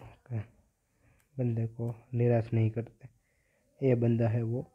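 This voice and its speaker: Teenage male, casual gaming commentary, monotone delivery, narrow lo-fi phone sound. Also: mid-range voice, takes it slowly, breathy edge. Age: teenager, about 15. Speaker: gaming video creator. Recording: dull, lo-fi phone-like audio.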